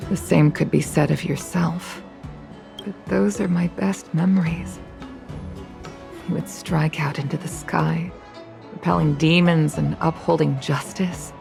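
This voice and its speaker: A woman voicing a solemn, regretful Foxian veteran pilot in a video game, speaking in a measured, reflective way. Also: low voice, creaky, darkish tone, full character voice. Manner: slow, measured, reflective delivery. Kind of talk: English game dub, dramatic monologue. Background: music.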